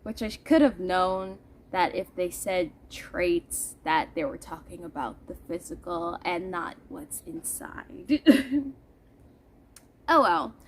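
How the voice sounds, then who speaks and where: A woman's voice: fairly low pitch, lively intonation, slow pace, slight background noise. A woman from the Netherlands, chatting casually and playfully to her viewers. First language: Dutch. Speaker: vlogger. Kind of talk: casual vlog chat to viewers. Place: outdoors in the heat.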